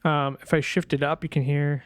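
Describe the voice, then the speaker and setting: A man presenting a sound design software tutorial in a casual, informal way, thinking aloud as he demonstrates. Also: a little high, flat delivery, a little fast, clean tone, dry recording, studio quality.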